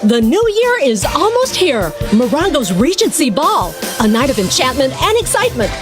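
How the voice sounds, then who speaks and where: A woman with a high, very animated voice reads a scripted promotion. Music plays clearly behind her. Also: normal speed.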